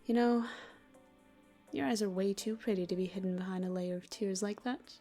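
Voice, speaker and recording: Soft, breathy female demon acted by a woman, music behind. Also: mid-range voice, fairly even intonation, moderate pace, lightly acted. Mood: gentle, soothing. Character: teasing then tender female sleep paralysis demon. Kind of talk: intimate roleplay ASMR voice acting.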